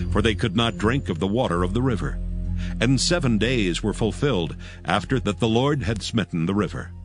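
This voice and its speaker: A man narrating a dramatized scripture story in a solemn, measured, scripted style. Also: fairly low pitch. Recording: music under the voice. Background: music.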